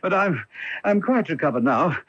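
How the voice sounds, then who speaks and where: Casting: pompous, anxious headmaster, husky, slightly high voice, halting formal speech, roomy old radio sound. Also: male voice, animated, a bit bright. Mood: urgent. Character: Dr. Huxtable, anxious exhausted pompous English school headmaster. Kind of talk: 1980s radio drama acting. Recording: roomy, dull vintage radio broadcast.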